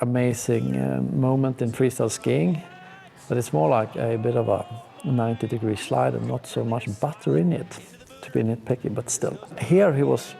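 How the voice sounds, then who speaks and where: A man's voice: medium pitch, gravelly, mellow. A young man from Sweden, speaking, gives laid-back, slangy, enthusiastic commentary while reacting to ski clips. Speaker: pro skier.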